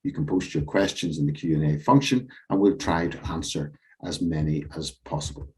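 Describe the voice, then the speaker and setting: A man hosting an online health webinar, reading a script in a measured way with small slips and fillers. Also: rumbling low, darkish tone. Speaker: host.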